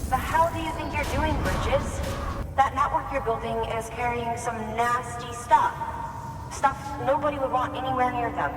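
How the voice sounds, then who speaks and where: A woman's voice: high-pitched, moderate pace, lightly acted. An actress playing a hostile, scornful woman leader in a video game cutscene, speaking in a confrontational way. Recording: distant, echoey, with hall reverb and noise.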